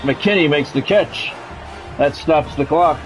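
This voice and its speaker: A man's voice: a little high, takes it slowly, soft background music, poor audio. A man doing play-by-play of his own football video game in short, blunt phrases, mixed with casual gamer talk.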